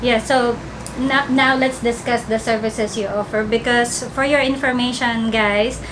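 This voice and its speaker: A woman's voice: on the high side, fairly even intonation, measured pace, noisy background. A young woman hosting her channel's interview, upbeat and chatty, using "like" as she talks. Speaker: YouTube channel host. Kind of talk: friendly interview. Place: small office room, seated at a table.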